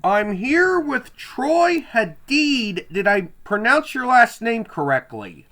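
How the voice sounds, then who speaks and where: A male podcast host with a high, bright voice that swings widely in pitch. He reads his introduction and questions from a list, pausing on "uh" between phrases. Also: big pitch swings. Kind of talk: scripted intro and interview questions.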